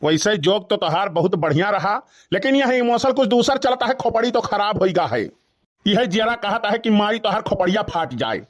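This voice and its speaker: A man's voice: high voice, animated, moderate pace. A man voicing an irritable, scolding village father in a comedy cartoon, with an Awadhi accent. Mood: dry, annoyed. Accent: Awadhi, eastern Uttar Pradesh.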